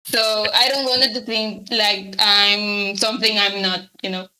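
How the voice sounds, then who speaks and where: A young woman with a Spanish accent, a native Spanish speaker from Latin America, chatting hesitantly as a guest artist in an interview, with many pauses and fillers. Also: medium pitch, steady tone, bright, forceful tone, poor audio. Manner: hesitant, many ums, laughs lightly. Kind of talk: casual interview chat.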